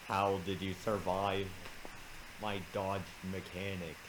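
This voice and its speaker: A man voicing a menacing, boastful video game villain with a taunting, drawn-out delivery on a noisy home recording. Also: sits low, little pitch movement. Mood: challenging.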